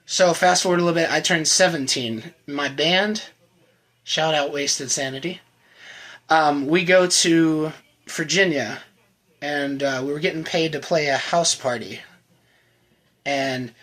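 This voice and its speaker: A man in his thirties giving a personal testimony to camera, earnest and conversational, often trailing off quietly at the ends of lines. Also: on the high side, unhurried. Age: 30s. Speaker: channel host sharing his recovery story.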